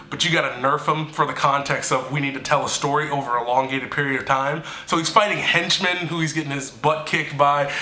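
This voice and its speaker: Young man, stuffy nose, animated chat, echoey office room. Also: on the high side. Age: young adult. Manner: animated, chatty, gesturing. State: stuffy from allergies. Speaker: show host, reviewer. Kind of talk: casual video review. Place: small indoor office room.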